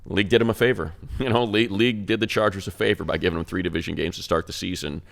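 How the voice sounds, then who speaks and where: A man with a very low, creaky voice talks sports casually. He has the gravelly bottom end of a radio announcer and an informal, chatty delivery. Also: fairly even intonation, very fast.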